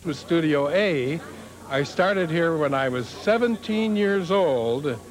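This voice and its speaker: A man's voice: fairly high pitch, expressive, slow. A seventy-three-year-old man, a veteran announcer, reminiscing in an interview. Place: busy restaurant. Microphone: handheld interview microphone. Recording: clear crowd noise behind.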